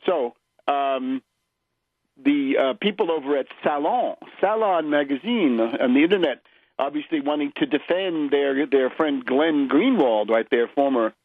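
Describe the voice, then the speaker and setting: High-pitched man, emphatic radio commentator. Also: unhurried, no room sound. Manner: emphatic, insistent, punctuates points with "right?"; sardonic, scornful. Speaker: political radio show host. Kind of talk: political commentary monologue, polemic. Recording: muffled lo-fi radio audio.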